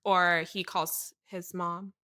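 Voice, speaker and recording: A woman's voice: on the low side, flat delivery, slow pace, bright, forceful tone. A woman playing an earnest, whimsical manic pixie dream girl in an improvised comedy interview, stating airy non sequiturs with complete sincerity. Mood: matter-of-fact.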